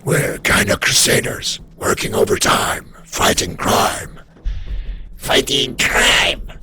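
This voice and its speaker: A man voicing a self-important masked crime fighter with a hushed, breathy voice in an amateur comic dub. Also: mid-range voice, lively intonation, slow, soft background music, full character voice.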